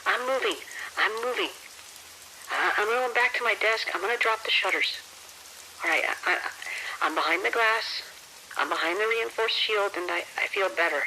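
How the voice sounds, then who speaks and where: Guy doing a nervous security officer, tense creaky voice, stumbling over words, horror audio drama, faint rainy hiss. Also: sits high, sharp tone, exaggerated voice, rough recording. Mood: rattled. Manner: nervous, halting, stammering. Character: frightened, jittery male security officer. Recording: radio ambience with rain-like noise.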